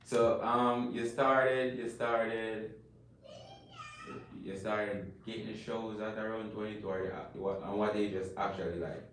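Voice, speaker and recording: A man's voice: on the low side, steady tone, unhurried, a bit bright. A man hosting a casual livestream interview, asking questions in a laid-back, slangy way. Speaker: interviewer host. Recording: echoey room, distant mic, faint noise.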